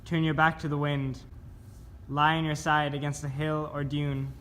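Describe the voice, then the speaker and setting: Male spoken-word narrator, slightly high voice, deliberate and measured, clear noise on the recording. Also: level tone, a bit bright. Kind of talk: scripted spoken-word narration of poetic survival instructions.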